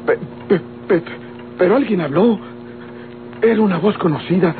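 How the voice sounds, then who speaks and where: An actor playing a restless, frightened Mexican rancher who stammers and mutters to himself in old radio drama style. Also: male voice, high voice, moderate pace, noisy background, close to an everyday voice. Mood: startled, frightened. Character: restless, frightened rancher tormented by sleeplessness and nightmares. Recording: tinny old radio recording, loud music.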